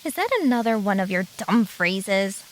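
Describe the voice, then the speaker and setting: A woman's voice: medium pitch, expressive, normal speed, slight background noise, close to an everyday voice, rough recording. A woman playing a blunt, skeptical young friend in an audio drama, with an exasperated manner.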